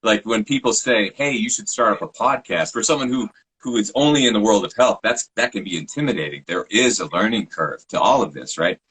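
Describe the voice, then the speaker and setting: A man with a deep, slightly husky voice, talking in a relaxed, reflective way over a video call. He projects well, with a trained speaker's control. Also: a little fast, poor audio. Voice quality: low voice. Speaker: podcast host and guest. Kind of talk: casual interview talk.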